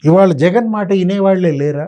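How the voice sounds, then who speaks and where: A man's voice: high-pitched, normal speed, mellow. A man giving unscripted political commentary, full of sarcastic, colloquial rhetorical questions. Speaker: political commentator, interview guest.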